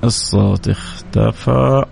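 A man's voice: on the low side, fairly even intonation, slow, vocal fry, darkish tone, a little hiss or hum. A man hosting a live radio program, reading out listeners' names and messages and answering each with a blessing.

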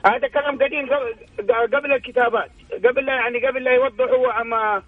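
A Hijazi Saudi man calls a show over a thin, tinny phone line. His voice is very high for a man and husky, and he rambles heatedly, repeating himself. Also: fairly even intonation, quick pace, dry recording. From Mecca, Saudi Arabia. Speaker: phone-in caller. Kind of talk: heated casual fan chat. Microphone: telephone.

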